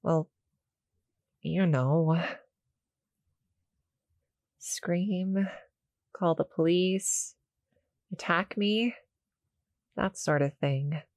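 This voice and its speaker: A woman playing a shy, gentle succubus cuddle monster in an intimate roleplay audio, speaking hesitantly, softly and politely to the listener. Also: fairly low pitch, drawn-out words, long pauses, no echo, clean studio sound. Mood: nervous, confiding. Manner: hesitant, soft-spoken, polite, apologetic.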